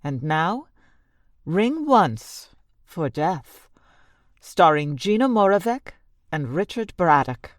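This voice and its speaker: A woman's voice: fairly low pitch, sing-song, slow, pauses often. A woman announcer reading a scripted introduction in the manner of a radio host. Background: music.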